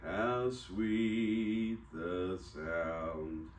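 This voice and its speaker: A man's voice: deep voice, steady tone, extremely slow. A man preaching a sermon, teaching in a folksy, joking way and laughing often. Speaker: pastor. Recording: roomy church hall, distant mic, faint hiss.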